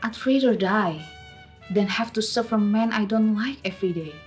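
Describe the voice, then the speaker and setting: A woman's voice: medium pitch, moderate pace, lightly acted. A woman playing a young Javanese captive, wary but resolute, in a radio drama with music behind her. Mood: pleading, resolute.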